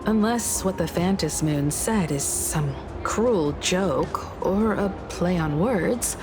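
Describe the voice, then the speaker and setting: A woman voicing a composed, scholarly woman in an anime-style game, speaking with solemn, measured eloquence. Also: on the low side, lively intonation, music in the background. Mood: grave. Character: composed, scholarly woman archivist, grave and analytical.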